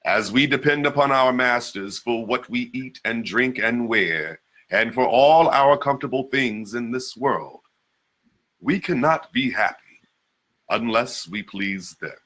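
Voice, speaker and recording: A man giving a slow, solemn dramatic reading of an old address and poem. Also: mid-range voice, expressive, fairly bright tone. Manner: slow, measured, solemn recitation. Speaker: reader of historical texts.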